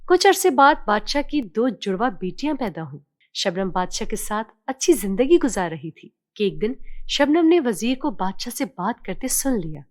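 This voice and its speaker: A woman reads a children's story as its narrator. Her voice is fairly high and very animated, recorded dry with no room sound. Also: moderate pace, dry recording.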